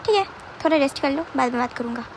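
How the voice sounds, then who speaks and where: A woman's voice: sits high, lively intonation, racing, a little hiss or hum. A woman voicing a smug, controlling male captor in a told story, taunting and unbothered as he commands. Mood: threatening, dismissive.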